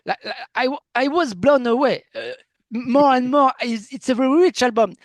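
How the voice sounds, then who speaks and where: Halting French-speaking man interviewing. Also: very high-pitched, no echo. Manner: halting, searching for words, enthusiastic. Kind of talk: casual interview chat.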